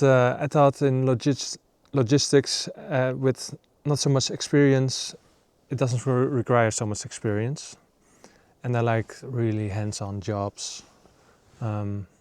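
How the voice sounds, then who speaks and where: A man in his thirties from the Netherlands, a native Dutch speaker, talking casually to camera in a halting way with many fillers. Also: mid-range voice, darkish tone, smooth, dry recording. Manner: halting, many ums and uhs. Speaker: nature vlogger. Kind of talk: casual vlog talk to camera. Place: outdoors in northern Sweden.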